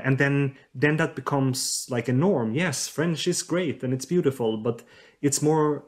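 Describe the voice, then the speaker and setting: A man from southern Sweden answers interview questions as a linguistics expert, pausing often to find the words. His voice sits at an ordinary middle pitch, and he reasons through each idea carefully. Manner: thoughtful, hesitant with many fillers. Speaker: linguist guest.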